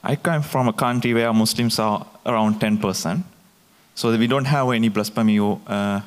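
A man in his thirties speaking in a measured way on a stage panel, correcting himself as he goes. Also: lowish voice. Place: theater stage before an audience. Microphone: handheld mic. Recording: long hall reverb.

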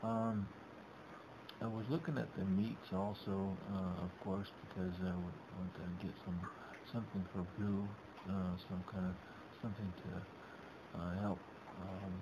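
A middle-aged man talks through his shopping in a loose, halting way, circling back and restarting his sentences. His voice is deep and flat, with a gravelly edge. The webcam recording sounds dull, with a steady hiss behind him. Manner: rambling, halting, many restarts. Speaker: vlogger. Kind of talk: casual narrated shopping vlog. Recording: dull lo-fi webcam audio with background noise.

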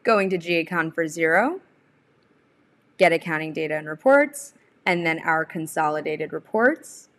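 A young adult woman presenting a software tutorial, explaining each step in an informal, instructional way. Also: low-pitched, expressive, normal speed. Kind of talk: instructional screen-share walkthrough.

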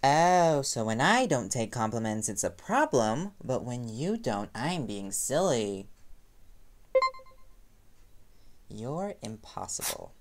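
A man acts out a young reporter boyfriend in an audio roleplay, affectionate and easily flustered. His pitch jumps around freely while his pace stays unhurried. Loud noise sits behind the voice. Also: big pitch swings. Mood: teasing, affectionate. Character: young playful, self-deprecating reporter boyfriend. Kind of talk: roleplay audio drama, intimate one-sided dialogue.

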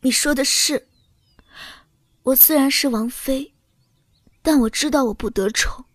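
A woman voicing a meek young princess consort who feigns timid submission in an audio drama, soft and hesitant. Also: high-pitched, unhurried, no echo. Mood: feigned meekness. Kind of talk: audio drama character acting.

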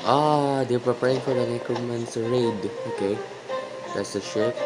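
Hushed young man reacting. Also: over loud music, rough recording. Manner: quiet, halting, low-voiced to avoid waking someone. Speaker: reaction video host. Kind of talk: hushed casual commentary. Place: small room indoors. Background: series audio playing.

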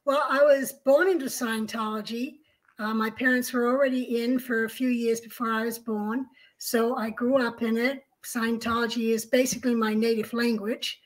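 A middle-aged woman from Australia, co-hosting a video-call chat at home, telling her story in a calm, measured way. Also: a little high, poor audio. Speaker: co-host. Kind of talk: casual interview chat. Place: home room, indoors.

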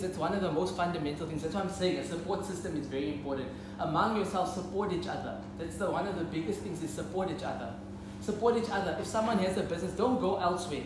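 A man in his twenties with a South African accent, giving an emphatic talk as a business speaker and often repeating key points. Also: on the high side. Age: 20s. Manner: emphatic, repeats key phrases, checks in with listeners. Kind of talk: live public talk to young entrepreneurs. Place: indoor lecture hall. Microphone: camera or phone a few metres away. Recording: very echoey lecture room, clear background noise.

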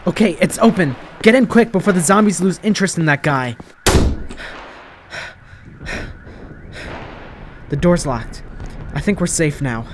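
A man playing a young slacker boyfriend in a zombie ASMR roleplay, flippant and foul-mouthed, rambling casually with a deadpan manner. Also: sits high, fairly quick, noisy background, voice pushed up, lightly acted. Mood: urgent.

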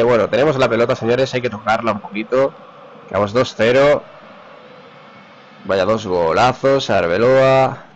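A man's voice: mid-range voice, dull audio, a little hiss or hum. Spaniard calling his football game live. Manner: excited, rapid name-calling of players. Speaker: gamer commentating his own match. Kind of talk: live play-by-play commentary.

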